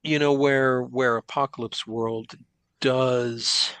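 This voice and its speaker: A man's voice: medium pitch, fairly even intonation, takes it slowly, fairly bright tone, clear, resonant tone, no room sound. A man, a game designer, chatting in an interview, hunting for the right words and repeating phrases as he builds a point. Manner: searching for words, repeats himself. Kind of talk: casual interview chat.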